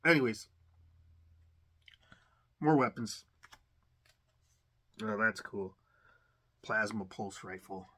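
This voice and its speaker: Bright-voiced man rambling through an unboxing. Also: on the low side, slow pace, pauses often, breathy edge. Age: adult. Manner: relaxed, rambling, many fillers and restarts. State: slight cough. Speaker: unboxing channel host. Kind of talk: casual unboxing commentary.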